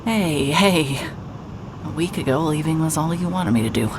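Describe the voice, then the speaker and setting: A woman's voice: low voice, animated, normal speed, background noise. An actress playing a weary, wry, guarded woman investigator in an audio drama, speaking in a hesitant, dry way. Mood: wry, deflecting.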